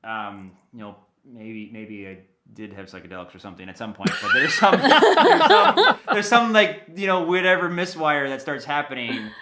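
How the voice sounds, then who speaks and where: A middle-aged man chatting casually as an interview guest, telling anecdotes in an animated, informal, loosely rambling way. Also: fairly high pitch, normal speed, few pauses, roomy sound. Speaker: guest, audio dramatist and producer.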